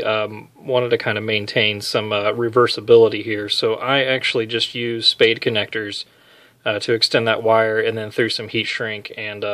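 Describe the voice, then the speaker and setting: A man's voice: medium pitch, a bit bright. A man talking casually through a hands-on tutorial, unscripted and lightly self-deprecating. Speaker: DIY video maker demonstrating an amp modification.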